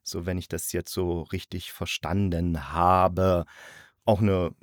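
A man's voice: sits low, little pitch movement, takes it slowly, no room sound, clean studio sound. A man hosting a review podcast, chatting casually with a guest and explaining things as he goes. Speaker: host of an audio drama review podcast.

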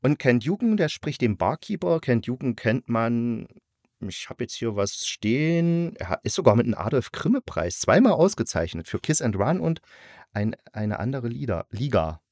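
Male review podcast host. Medium pitch, dry close sound, casual explanatory chat. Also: sing-song, moderate pace, a little mellow, no echo. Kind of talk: casual conversational review with a guest.

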